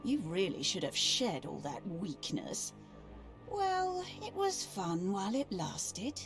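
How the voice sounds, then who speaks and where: Sneering game warlord villainess, husky, slow drawl, very swoopy. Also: female voice, medium pitch, very animated, over music. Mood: mocking. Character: mocking, scornful female warlord villain. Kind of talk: video game cutscene acting.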